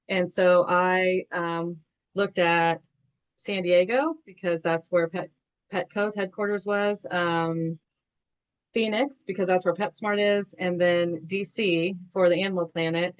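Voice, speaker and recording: A woman's voice: on the low side, smooth, dull audio. A woman from the American Midwest, a podcast guest, chatting about her background with many fillers. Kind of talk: casual interview storytelling.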